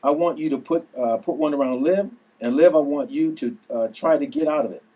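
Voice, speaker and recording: A man's voice: on the high side, a little fast, warm tone, highs cut off, natural voice. A man at a table read playing an earnest uncle, a recovering addict who now trains his nieces to fight. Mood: instructing.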